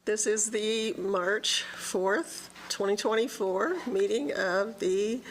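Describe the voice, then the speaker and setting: A woman chairing a neighborhood association meeting, opening it briskly and welcoming everyone. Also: mid-range voice, a little hiss or hum, low-quality recording. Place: community meeting room.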